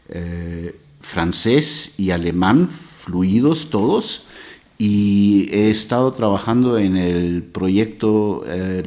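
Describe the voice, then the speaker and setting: Slow, very deep-voiced Peruvian-born man. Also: darkish tone. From Peru. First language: English and Spanish. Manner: slow, measured, with frequent hesitations. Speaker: integration guide. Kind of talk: personal self-introduction.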